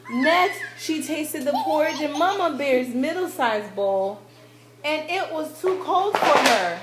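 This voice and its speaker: A high-pitched woman reads a children's fairy tale at a slow, deliberate pace. She is narrating a puppet show in a roomy, echoing space. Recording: echoey room, faint background noise.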